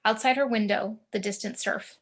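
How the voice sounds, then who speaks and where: A woman reading screenplay action and scene descriptions in a measured, descriptive manner. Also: mid-range voice, steady tone. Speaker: narrator.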